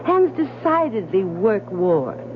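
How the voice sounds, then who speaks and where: An actress playing a shrewd, sharp-eyed gentlewoman wife in a radio drama, speaking in a knowing, firm, persuasive way. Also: medium pitch, big pitch swings, slow pace. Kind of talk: 1970s radio drama acting. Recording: muffled, hissy old radio broadcast.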